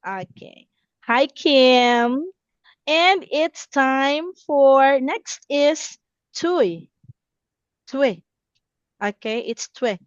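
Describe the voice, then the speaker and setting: A woman's voice: high voice, animated, extremely slow, pauses often, dry recording, dull audio. A woman in her thirties teaching a simple English lesson, encouraging, repeating words and checking understanding. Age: 30s.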